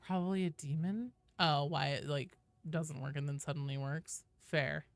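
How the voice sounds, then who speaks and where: A woman's voice: low-pitched, steady tone, normal speed, creaky, no room sound. A woman hosting a game livestream, chatting casually and informally with viewers, swearing freely. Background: faint video game sounds.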